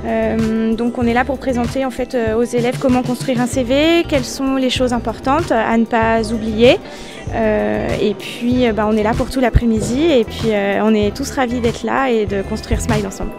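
A woman's voice: fairly high pitch, steady tone, normal speed, vocal fry, over music. A woman who works in human resources, interviewed at an indoor fair stand, speaking with many fillers. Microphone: handheld recorder held by interviewer.

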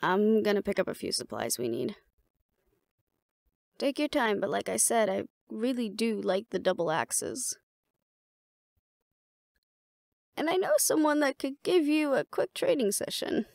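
A woman playing a battle-seasoned, fond and teasing mate in a roleplay audio drama, talking casually and intimately with a gravelly creak and hesitant pauses. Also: mid-range voice, big pitch swings, darkish tone, dry recording, studio quality. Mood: playful, fond.

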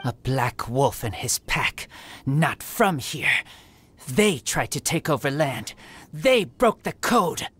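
A man playing a terse, earnest teenage boy raised by wolves in a fantasy video game, speaking in halting broken sentences. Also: mid-range voice, big pitch swings, a bit bright, husky, heavily acted. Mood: somber.

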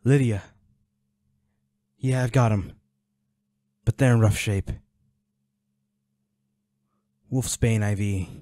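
A man's voice: on the low side, steady tone, slow pace, mellow, no room sound. A man playing a protective, worried boyfriend in an intimate audio roleplay, speaking in a pleading, soothing, urgent way. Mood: tense, focused. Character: protective, worried boyfriend tending his injured werewolf partner.

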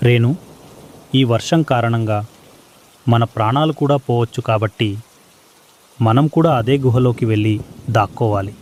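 A man's voice: medium pitch, moderate pace, long pauses, darkish tone, natural voice. A man voicing a worried, practical male sparrow who pleads earnestly in a children's cartoon story. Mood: urgent, pleading.

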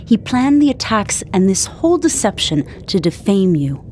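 A woman's voice: mid-range voice, big pitch swings, normal speed, a little mellow, a little hiss or hum, natural voice. A woman playing a regal, steadfast warrior queen in a video game, formal and commanding. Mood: reassuring. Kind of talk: dramatic video game acting.

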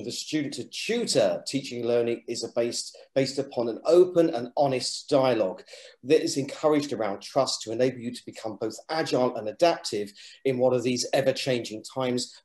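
Male lecturer, halting delivery with fillers, roomy sound. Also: mid-range voice, darkish tone. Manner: halting, with many fillers and restarts. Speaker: architecture lecturer presenting an open day. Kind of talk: presentation over slides.

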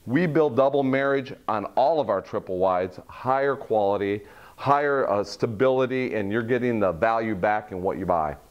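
A man's voice: on the low side, normal speed, highs cut off. A middle-aged man leading a walkthrough tour of a model home, listing its features in a salesy way. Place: furnished model home, indoors.

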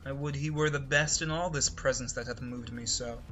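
A man's voice: mid-range voice, steady tone, fairly quick, fairly bright tone, soft background music, natural voice. An actor playing a haughty, indignant young nobleman, scornful and declamatory in a Shakespearean reading. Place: indoors, remote video call.

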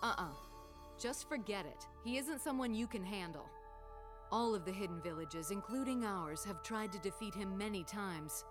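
A woman's voice: medium pitch, lively intonation, normal speed, music in the background, natural voice. A woman voicing a serious, cautious young aide in an anime dub, matter-of-fact in her warnings. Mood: grave, warning.

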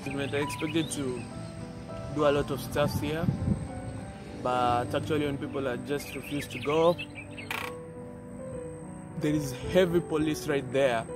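A young man talks to camera as he rides a bicycle along an open roadside, filming himself on a phone held at arm's length. His voice sits a little on the high side, and he keeps calling out to his viewers in an easy, chatty way. Music plays clearly underneath him. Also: steady tone, unhurried, long pauses. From Kenya. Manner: talking while riding a bicycle. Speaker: vlogger. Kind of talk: casual vlog to camera.

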